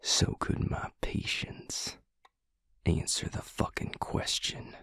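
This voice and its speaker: A man acting a grim, protective vampire in an audio drama, terse and angry in a gravelly, breathy near-whisper. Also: takes it slowly, fairly bright tone, no room sound, clean studio sound. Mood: cold anger. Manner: terse, growling, restrained anger.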